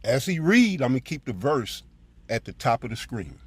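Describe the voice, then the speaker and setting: A man teaching scripture informally, with pitch that swings widely from phrase to phrase. His voice is husky and rough, and he stresses his points, punctuating them with short check-ins. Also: big pitch swings, normal speed. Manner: emphatic, frequent "all right" check-ins. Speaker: preacher and presenter.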